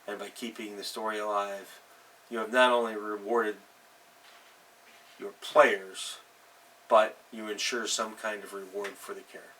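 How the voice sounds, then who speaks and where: Middle-aged man, low but forceful voice, halting and emphatic vlog host, picked up by a distant mic. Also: level tone. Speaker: host, tabletop game master. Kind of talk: casual advice vlog, unscripted. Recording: distant mic with faint background noise.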